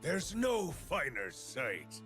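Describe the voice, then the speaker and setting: Gravelly, ruthless captain. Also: male voice, a little high, wildly swooping pitch, extremely slow, full character voice. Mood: grim, brooding. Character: ruthless, vengeful, menacing male pirate captain. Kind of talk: theatrical video game voice acting. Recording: loud game music behind.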